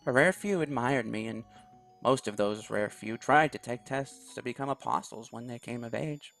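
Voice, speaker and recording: A man quietly reading a visual novel aloud in first person, soft-spoken. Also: medium pitch, moderate pace, vocal fry, soft background music. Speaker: video game YouTuber.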